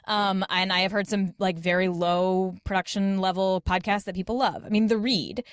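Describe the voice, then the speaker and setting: A woman's voice: mid-range voice, steady tone, moderate pace, clear, resonant tone, no room sound, clean studio sound. A woman talking casually as a podcast guest, chatty and informal with an emphatic way of making her points. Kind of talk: casual conversational panel talk. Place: small closet at home.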